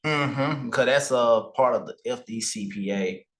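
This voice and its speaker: A man appearing as a guest on a podcast, explaining credit and travel hacking advice in a calm, measured way. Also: medium pitch. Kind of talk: casual podcast interview.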